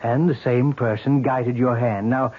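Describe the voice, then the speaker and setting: A man acts as a composed psychiatrist in a vintage radio play. His husky, mid-pitched voice asks measured, leading questions. The sound is narrow and tinny, like an old broadcast. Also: fairly even intonation, close to an everyday voice. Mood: patient, leading. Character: probing, composed male psychiatrist. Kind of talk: old-time radio drama acting. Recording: thin, narrow-band vintage radio recording.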